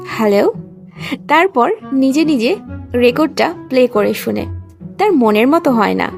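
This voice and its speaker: High, creaky-voiced woman storyteller. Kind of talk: scripted romantic fan-fiction narration. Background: background music.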